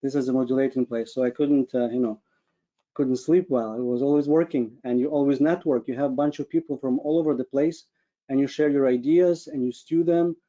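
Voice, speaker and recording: A man's voice: mid-range voice, fairly even intonation, brisk, warm tone, highs cut off. A man from Ukraine with a Ukrainian accent gives an informal personal presentation, searching for his words in an earnest way. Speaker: webinar speaker, medical physicist. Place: online video call with screen share.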